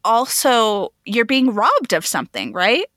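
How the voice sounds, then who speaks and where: A woman's voice: on the high side, wildly swooping pitch, unhurried, fairly bright tone, dry recording, studio quality. A woman co-hosting a casual podcast, chatting, laughing easily and reading out listener questions. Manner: laughs often, reads listener questions aloud. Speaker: podcast co-host.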